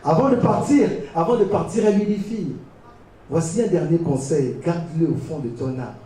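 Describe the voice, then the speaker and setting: A man from Gabon reciting slam poetry on stage in a declamatory, dramatic way with deliberate pauses. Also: on the high side, mellow, a little hiss or hum. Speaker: slam poet. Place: live poetry competition venue. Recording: distant mic, very echoey venue.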